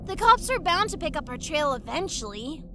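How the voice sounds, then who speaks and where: A woman's voice: high-pitched, big pitch swings, normal speed, noisy background. A woman playing a brash, blunt little girl pony in an amateur audio story. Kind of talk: amateur audio drama of fan fiction.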